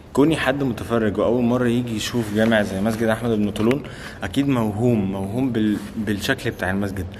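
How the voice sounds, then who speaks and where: A man's voice: medium pitch, a little hiss or hum. A man in his twenties with an Egyptian accent, chatting casually and emphatically to camera as a travel vlogger, often repeating himself. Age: 20s. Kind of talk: casual vlog chat to camera. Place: old stone mosque, arcades and open rooftop. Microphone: camera held at arm's length.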